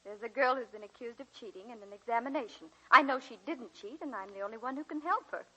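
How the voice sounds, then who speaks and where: Bright, earnest young American woman in radio drama. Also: on the high side, quick pace, low-quality recording. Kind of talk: 1940s radio drama acting with narration. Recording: vintage 1940s radio recording.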